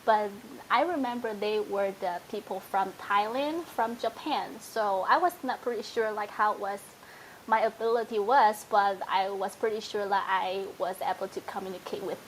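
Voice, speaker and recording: A young woman from Taiwan, a native Mandarin speaker, talks casually in an interview at a middle pitch. She is modest and laughs often, and a faint hiss sits under her voice. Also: normal speed, low-quality recording. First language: Mandarin Chinese. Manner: modest, laughs often, simple phrasing with fillers. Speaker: interview guest.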